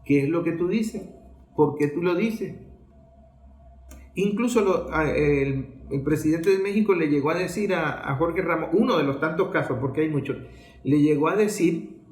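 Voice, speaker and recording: A man's voice: a little high, moderate pace, darkish tone. A man with a Venezuelan Spanish accent delivers political commentary in a didactic, emphatic way, using rhetorical questions and repetition. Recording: echoey room sound.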